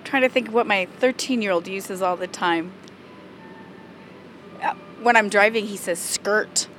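A woman's voice: mid-range voice, unhurried, fairly bright tone, soft background music. A mother giving an amused, giggly answer to a poll question. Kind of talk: vox-pop answer.

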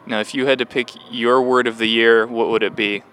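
A man's voice: mid-range voice, steady tone, brisk, a bit bright, slight background noise, poor audio. A man asking passers-by questions for a poll, prompting them in a friendly way. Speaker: interviewer. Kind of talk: vox-pop questioning.

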